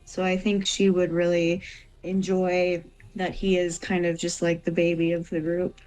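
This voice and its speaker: A woman's voice: fairly low pitch, monotone, measured pace, a little mellow, low-quality recording. A woman playing a tabletop role-playing game, talking casually as she makes up details about her character. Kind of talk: casual improvised character discussion. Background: faint music.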